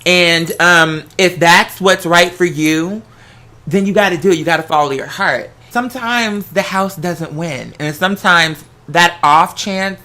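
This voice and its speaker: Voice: mid-range voice, bright, forceful tone. An American vlogger giving casual relationship advice in a sassy, playful, theatrical way, often calling the listener girl. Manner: theatrical, bursts into song. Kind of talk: casual animated advice chat.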